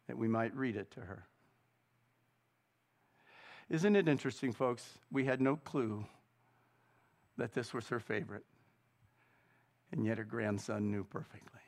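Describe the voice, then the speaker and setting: Middle-aged pastor guy preaching, slow and deliberate, surges loud and passionate then settles. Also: mid-range voice. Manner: slow and deliberate, rising to loud fervent peaks. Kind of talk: sermon.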